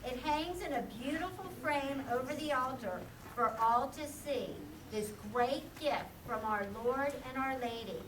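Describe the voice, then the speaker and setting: A woman's voice: high voice, unhurried, few pauses. A woman narrating and presenting a children's puppet show as a storyteller. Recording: echoey, distant, noisy live recording.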